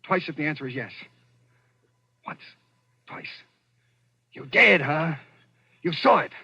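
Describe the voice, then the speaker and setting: An actor playing a driven, insistent man on the run, accused of murder, in a husky voice in 1940s radio drama style. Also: on the high side, expressive, slow pace, bright, forceful tone, heavily acted. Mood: intense, insistent. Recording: dull lo-fi old radio recording.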